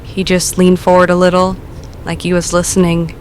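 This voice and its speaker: A woman's voice: lowish voice, monotone, darkish tone, a little hiss or hum, lightly acted, rough recording. A woman playing a hesitant, self-doubting caller. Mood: uneasy. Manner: hesitant, halting, self-deprecating.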